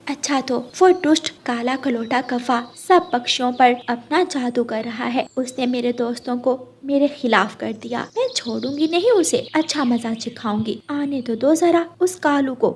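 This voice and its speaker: A woman voicing a high-pitched, indignant little cartoon bird. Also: normal speed, few pauses, slightly rough. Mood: indignant, determined. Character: brave, indignant little female bird, good-hearted heroine. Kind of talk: Hindi children's cartoon dialogue.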